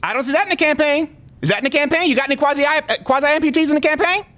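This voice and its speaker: High-pitched, indignant complaining single mom. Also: male voice, very fast, a bit bright. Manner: rambling, emphatic, repetitive, streetwise slangy. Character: indignant, aggrieved gender non-conforming single mom complaining by phone. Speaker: prank caller. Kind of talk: improvised prank phone call.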